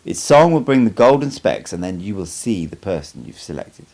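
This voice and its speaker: A man's voice: low-pitched, very animated, normal speed, creaky, darkish tone, full character voice. A man voicing a cryptic sorcerer teacher in an audiobook, speaking in a dry, instructive manner.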